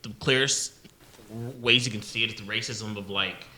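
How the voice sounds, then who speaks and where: A man with a bright, forceful tone and a lowish pitch, chatting casually on a podcast. He tells his stories loosely, full of "you know" and restarts. Haitian-born, he now talks in a plain American way. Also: moderate pace, rough recording. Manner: rambling storytelling with many fillers and restarts. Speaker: podcast host.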